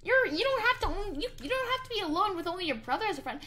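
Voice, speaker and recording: A woman's voice: very high voice, very animated, rapid-fire, noisy background. A young woman doing playful gameplay role-play commentary, dramatically pleading and exclaiming. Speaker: gaming video creator.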